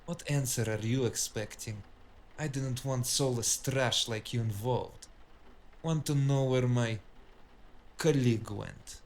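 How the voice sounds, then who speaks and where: A man's voice: mid-range voice, slow pace, a little mellow. A male actor playing a scornful, guarded tough guy who refuses to back down, in a noir audio drama. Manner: contemptuous, guarded. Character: defiant, scornful male cyberpunk tough cornered at gunpoint. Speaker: voice actor playing the detective's opponent.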